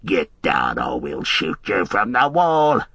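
A man's voice: low-pitched, huge pitch swings, slow pace, fairly bright tone, breathy edge, dry recording, pitched below the performer's own voice, heavily acted. A man voicing a horde of male orc warriors who jeer and bellow taunts in an audiobook.

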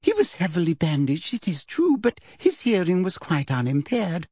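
A sugary, silky-voiced woman official, soft and simpering but cold beneath the smile, as a man puts her on in a high, lilting voice. Her tones float well above the reader's own, dry and close, with a husky edge. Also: very animated, normal speed, dry recording, full character voice. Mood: smug. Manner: silky, falsely sweet. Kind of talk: audiobook character voice.

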